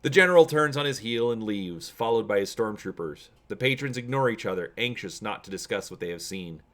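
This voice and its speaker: A man's voice: on the low side, animated, fairly quick, a bit bright. A man hosting a tabletop gaming channel, chatting to viewers and reading an adventure story aloud as narrator. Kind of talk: casual video intro and dramatic reading of story narration.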